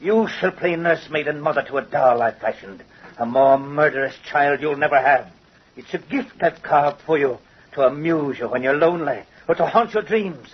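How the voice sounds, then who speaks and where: An actor playing a vengeful, gloating doll-maker in old-time radio horror, with a theatrical, menacing and mocking manner. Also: male voice, a little high, moderate pace. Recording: husky, muffled old radio recording.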